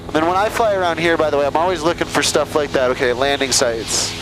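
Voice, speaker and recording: A man's voice: a little high, fast talker. A man working as a flight instructor, talking casually and encouragingly through a headset in a small plane. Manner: informal, encouraging. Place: small airplane cockpit in flight. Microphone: aviation headset. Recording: loud cockpit engine noise, aviation headset intercom.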